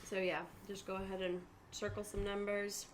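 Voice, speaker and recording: A woman's voice: medium pitch, completely flat delivery, normal speed. A woman acting as game master, explaining tabletop game rules to players on a stream in a casual, chatty way. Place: room at a group gaming table. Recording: noticeable room noise behind the voice.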